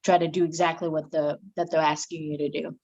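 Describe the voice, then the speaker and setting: A woman's voice: low-pitched, little pitch movement, brisk, darkish tone, dull audio. A woman in a casual group discussion, talking in a tentative, meandering way. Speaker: participant in an online film class meeting.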